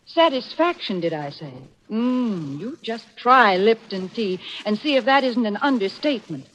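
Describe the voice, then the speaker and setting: A cheerful, chatty radio spokeswoman of the 1940s. The voice sits fairly high, with emphatic selling phrases. Faint noise runs through the old recording. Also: big pitch swings, moderate pace, fairly bright tone. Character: chatty, cheerful female tea saleswoman. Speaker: sponsor's spokeswoman. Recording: slightly noisy vintage radio recording.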